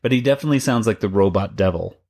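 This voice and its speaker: A man's voice: lowish voice, racing, darkish tone, raised voice. A man co-hosting a podcast about a cartoon, talking casually and rambling a bit with restarts and laughs. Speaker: podcast co-host. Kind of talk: casual chat.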